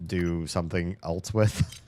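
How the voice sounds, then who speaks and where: A young man giving casual, profane running commentary while playing a video game, his voice slightly hoarse. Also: low voice, steady tone, slow, darkish tone, slight background noise. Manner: chatty, swears a lot, talks to himself while playing.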